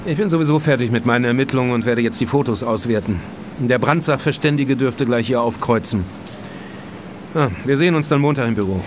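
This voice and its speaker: An actor playing a grudging, matter-of-fact police inspector in a German crime audio drama, dry and businesslike. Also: male voice, medium pitch, brisk, noisy background, close to an everyday voice. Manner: dry, businesslike, disdainful toward outsiders.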